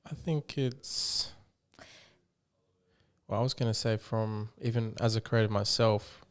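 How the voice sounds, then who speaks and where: A man interviewing a guest in casual chat, reflective and halting, often restarting his sentences. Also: fairly low pitch, steady tone.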